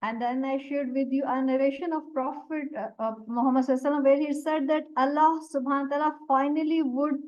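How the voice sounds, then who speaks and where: A woman whose first language is Urdu, teaching a Quran lesson and explaining Arabic words one by one in a deliberate way. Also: high-pitched, steady tone, darkish tone. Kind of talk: slow explanatory religious lesson. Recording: dull lo-fi recording.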